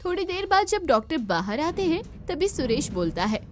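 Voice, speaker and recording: A woman's voice: very high voice, big pitch swings, normal speed, darkish tone, soft background music. A woman narrator reading a scripted moral story for a cartoon.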